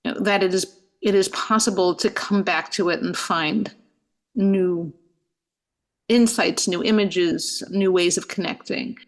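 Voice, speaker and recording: Gravelly-voiced woman rabbi, reflective. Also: mid-range voice, fairly even intonation, a little slow. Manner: thoughtful, frequent "you know" fillers and restarts. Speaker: rabbi and guest. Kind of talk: reflective interview answers.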